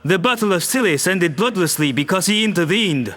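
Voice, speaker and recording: A man voicing a calm, firm human world leader who speaks in a measured, persuasive way. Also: high-pitched. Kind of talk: audio drama acting.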